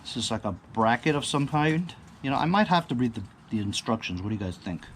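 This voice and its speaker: A man's voice: medium pitch, animated, a little fast. A man doing a casual, unscripted product unboxing, rambling and thinking aloud while often checking in with the viewer. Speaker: product reviewer. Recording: clear background ambient noise.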